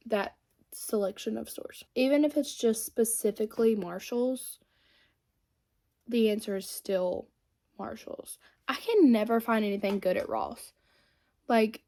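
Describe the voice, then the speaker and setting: A woman's voice: mid-range voice, vocal fry, darkish tone. A woman, chatting casually and rambling while she does her makeup. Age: 20s. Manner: chatty and rambling, many fillers. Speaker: beauty vlogger.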